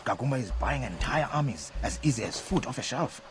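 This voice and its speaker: An actor playing an impatient rebel leader in a video game, curt, formal and commanding. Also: male voice, medium pitch, lively intonation, brisk, background noise, lightly acted. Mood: proud, commanding. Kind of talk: video game cutscene acting.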